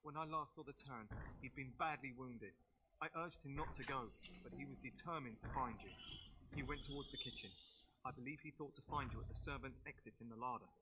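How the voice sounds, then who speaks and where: A man's voice: mid-range voice, a little fast, natural voice. A man playing a loyal, dutiful castle knight in a fantasy video game. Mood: earnest, worried. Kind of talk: dramatic fantasy game acting. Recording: game dialogue with clear background noise.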